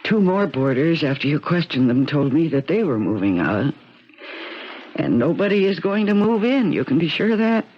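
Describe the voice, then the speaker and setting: A woman's voice: low-pitched, sing-song, moderate pace, slightly rough, exaggerated voice. An American actress playing a weary, distressed middle-aged boarding-house landlady in a 1970s radio drama. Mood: weary, bitter. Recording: dull, noisy vintage radio broadcast.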